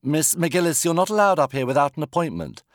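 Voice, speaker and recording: A man's voice: fairly high pitch, big pitch swings, fairly quick, no room sound, voice pushed up. A man playing a brusque, sardonic woman doctor in an audiobook novel, curt and dry. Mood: sarcastic, dismissive.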